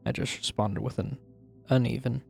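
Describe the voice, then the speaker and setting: Gravelly, brooding man acting as a troubled confessor. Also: medium pitch, steady tone, darkish tone. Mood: anxious, guilty. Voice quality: gravelly, creaky, husky. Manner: brooding, deliberate, dramatic storytelling. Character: troubled man confessing a grim childhood memory, guilty and bitter. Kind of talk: first-person dramatized horror story narration. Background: music.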